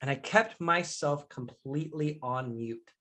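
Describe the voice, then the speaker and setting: A man's voice: fairly high pitch, a little slow, a bit bright. Earnest man from Mexico, leading a workshop. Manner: earnest, candid, addresses the audience directly. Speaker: leadership trainer and professional speaker. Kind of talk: live online workshop presentation.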